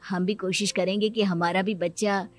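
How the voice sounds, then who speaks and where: A woman's voice: mid-range voice, steady tone, moderate pace, natural voice. Woman voicing an earnest younger brother. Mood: hopeful. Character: affectionate younger brother, a new uncle longing for children.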